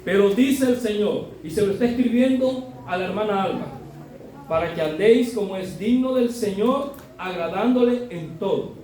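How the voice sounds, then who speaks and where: A middle-aged man preaches in a high, mellow voice. He talks with his congregation in a conversational way and keeps asking them to agree with him. He is recorded from far off in a very echoey church hall with faint room noise behind him. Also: normal speed. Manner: conversational, often adds tag questions; builds to loud emphatic exhortation. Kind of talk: sermon.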